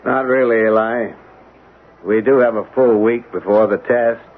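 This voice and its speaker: A man portrays a calmly stubborn military colonel in a 1970s radio drama. He talks in a measured, placating, officious way at a medium pitch. The sound is very narrow and tinny. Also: close to an everyday voice. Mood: coolly firm. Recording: narrow-band, hissy 1970s radio recording.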